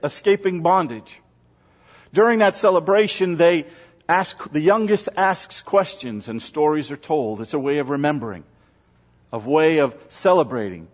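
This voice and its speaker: A man speaking as a pastor, reading scripture to a congregation in a measured, solemn church delivery. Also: fairly high pitch. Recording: muffled, reverberant large-room recording.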